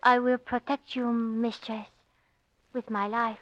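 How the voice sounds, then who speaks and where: An intense, solemn young maidservant. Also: female voice, a little high, level tone, takes it slowly, fairly bright tone. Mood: solemn, devoted. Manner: formal, solemn, earnest. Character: devoted, defiant young Chinese maidservant. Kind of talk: 1940s radio acting. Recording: muffled 1940s radio recording.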